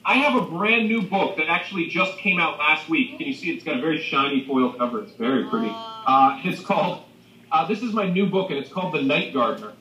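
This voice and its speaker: A man's voice: on the high side, expressive, a little fast, sharp tone. A man, a children's author, speaking enthusiastically and directly to young listeners as he presents and reads his storybook over a video call. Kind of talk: animated school talk and storybook reading. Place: video call played into a school library. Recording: roomy, distant video-call sound.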